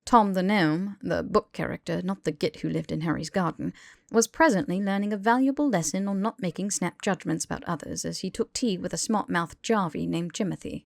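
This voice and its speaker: A woman's voice: on the low side, brisk, creaky, a little mellow, no room sound, clean studio sound. A woman reading fiction aloud as an audiobook narrator, in a scripted storytelling style.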